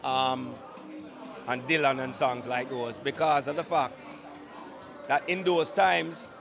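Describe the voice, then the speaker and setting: Reflective interviewee, fairly high-voiced man, music behind. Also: steady tone, slow, creaky. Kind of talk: casual conversation.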